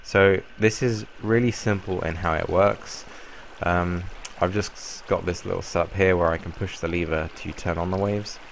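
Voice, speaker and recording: A young man with a croaky voice from a cold, casually explaining a game build in a rambling way. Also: deep voice, normal speed, few pauses, a little hiss or hum. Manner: relaxed, rambling explanation with fillers. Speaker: Minecraft YouTuber. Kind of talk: casual gameplay tutorial.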